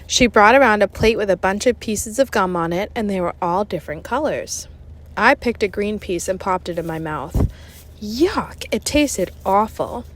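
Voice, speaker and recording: Animated woman reading a children's story. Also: medium pitch, moderate pace, slight background noise. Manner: animated, conversational. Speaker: storybook reader. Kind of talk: expressive children's audiobook narration.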